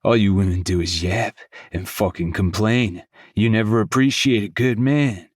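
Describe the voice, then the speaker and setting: A man performs a menacing, middle-aged drunk for an audiobook. His voice sits low, and the words come out slurred and growled. He sounds bitter, crude and spoiling for a fight. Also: normal speed, breathy edge, no echo, studio quality. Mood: angry. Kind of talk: audiobook character dialogue.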